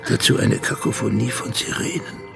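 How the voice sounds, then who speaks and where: An actor narrating a literary radio drama as a brooding, intimate storyteller, terse and solemn, with a gravelly voice. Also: male voice, medium pitch, moderate pace, over music. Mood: somber, detached. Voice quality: gravelly, creaky. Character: brooding second-person narrator, intimate and fatalistic.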